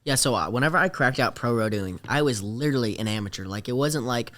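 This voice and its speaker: A young man talks easily as a guest on a podcast, his voice sitting at an ordinary middle pitch. He drifts into softer tones as each thought winds down, keeping the whole exchange relaxed. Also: fast. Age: young adult. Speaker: podcast guest, rodeo rider. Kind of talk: casual interview chat.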